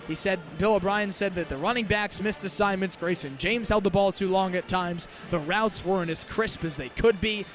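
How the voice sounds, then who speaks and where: A young man calling American football live on the radio as a play-by-play announcer, with crisp, detailed delivery. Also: high voice, moderate pace, few pauses, a bit bright. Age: young adult. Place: stadium game broadcast. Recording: live outdoor broadcast with background noise.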